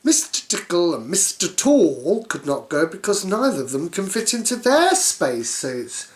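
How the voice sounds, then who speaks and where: A woman's voice: on the low side, very animated, a little slow. A woman reading a children's story aloud in a silly, theatrical way, dropping in playful asides.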